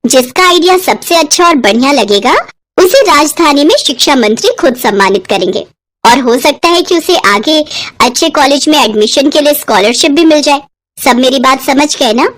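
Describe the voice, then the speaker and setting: A woman's voice: sits high, expressive, moderate pace, a bit bright. A woman voicing an instructive, encouraging village schoolteacher in a moral story.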